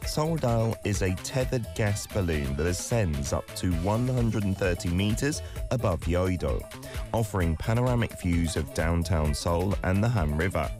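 A man whose first language is Korean, hosting a radio show: he reads entertainment news from a script and chats casually between items with an easygoing, chatty manner. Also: sits low. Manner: easygoing, chatty asides with fillers. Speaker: radio host. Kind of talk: scripted news reading and casual on-air chat.

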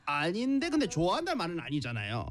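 A woman hosting a chatty quiz segment, switching between Korean and slow, deliberate English explanations. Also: lowish voice, wildly swooping pitch. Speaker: radio show host. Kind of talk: lively bilingual quiz-show chat in Korean and English.

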